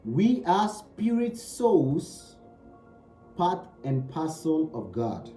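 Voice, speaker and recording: A man's voice: fairly high pitch, big pitch swings, very slow, dark tone, reverberant, soft background music. A man giving a spiritual teaching talk. He speaks emphatically, repeating key phrases and asking rhetorical questions.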